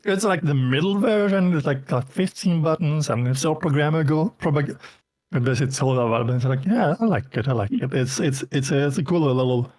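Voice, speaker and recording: A man's voice: on the high side, a little fast, darkish tone, no echo. A man from Denmark with a Danish accent, a native Danish speaker, chatting loosely as a guest in an interview and filling his talk with lots of filler words. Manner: rambling, heavy with fillers. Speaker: guest streamer. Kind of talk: casual interview chat.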